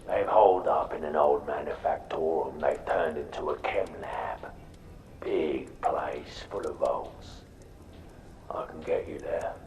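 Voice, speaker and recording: An actor playing a cautious, mercenary underworld guide in a video game, with a gruff, gravelly, breathy voice. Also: male voice, rumbling low, a little mellow. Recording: echoey, distant, faint ambient noise.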